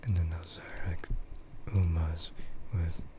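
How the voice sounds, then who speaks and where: A man streaming ASMR in a soft, breathy whisper, chatting casually and unhurriedly in a meandering way that trails off. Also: deep voice, little pitch movement, takes it slowly. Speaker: streamer hosting an ASMR livestream. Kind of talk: ASMR, rambling casual chat about games and anime. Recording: muffled lo-fi sound with clear noise.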